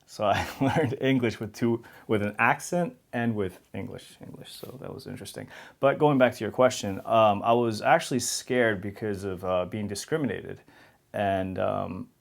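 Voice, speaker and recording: Interview guest: New man, low gravelly voice, candid and easygoing, laughs through anecdotes. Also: normal speed. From New York City. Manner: candid, laughs while telling stories. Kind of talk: casual interview conversation.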